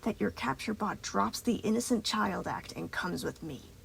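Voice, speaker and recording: A woman voicing an unhinged, condescending male moon-faced robot in a slow, crackling near-whisper, taunting with tightly controlled intensity, in a story reading. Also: medium pitch, expressive, gravelly, fairly bright tone. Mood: menacing, annoyed.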